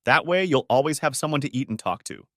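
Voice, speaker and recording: A man reads the part of a friendly, assertive woman in her forties from an audio story. The delivery is chatty and forward, with animated pitch. The sound is dry. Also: fast, no echo. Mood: playful, assertive. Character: friendly, forward divorced woman of forty-five.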